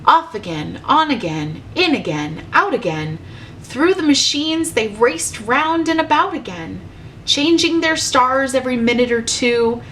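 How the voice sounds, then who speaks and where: A woman with a fairly high voice reads a children's storybook aloud. She takes the rhymes slowly and rhythmically, like a teacher, and there is noise in the recording. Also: lively intonation, a bit bright.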